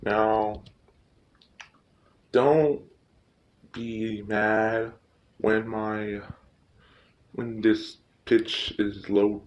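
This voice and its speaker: A man with a fairly low voice talks through a tutorial in an informal, halting way, often repeating himself. The recording has clear background noise and some clicking. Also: level tone, muffled recording. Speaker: tutorial host. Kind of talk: casual screen-recording tutorial.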